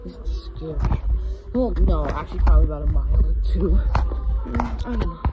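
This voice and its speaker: A speaker with a Minnesota accent giving chatty, nervously excited running commentary while crawling through a narrow cave, filmed on a handheld phone. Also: mid-range voice, moderate pace, loud music behind the voice. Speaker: cave explorer filming. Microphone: handheld phone camera. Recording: muffled phone audio, loud noise.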